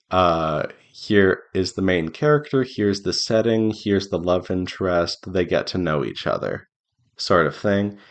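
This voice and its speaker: A man giving an informal devlog update as an indie game developer, speaking haltingly as he thinks aloud. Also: sits low. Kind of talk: informal progress update to viewers.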